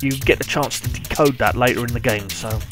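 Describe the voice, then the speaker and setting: A man's voice: medium pitch, fairly quick. A British man giving casual, informal live commentary on a video game, muttering and repeating himself as he plays. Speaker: gameplay commentator. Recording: game music behind the voice.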